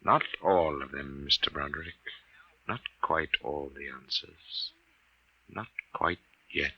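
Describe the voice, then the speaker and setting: A man's voice: extremely low pitch, slow pace, lo-fi sound, noisy background, full character voice. An actor playing a formal, wealthy eccentric man with precise, pompous diction in a 1950s radio drama. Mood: quietly ominous. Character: formal, wealthy, eccentric male client obsessed with alien invasion.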